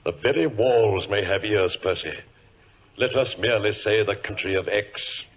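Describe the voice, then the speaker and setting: An actor playing a grave, commanding senior government minister in a 1940s radio drama, solemn and confidential, with a husky voice. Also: male voice, lowish voice, moderate pace. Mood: solemn, secretive. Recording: muffled vintage radio recording.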